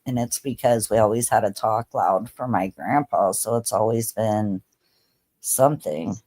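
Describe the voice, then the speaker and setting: Woman, 30s, easygoing livestream host; slow, rambling casual delivery. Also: very deep voice, mellow. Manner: laid-back, chatty, lots of fillers. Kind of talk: casual chat with viewers.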